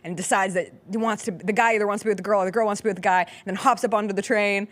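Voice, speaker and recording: A woman's voice: medium pitch, steady tone, rapid-fire. A woman hosting a sports talk show, chatting casually and playfully with emphatic delivery.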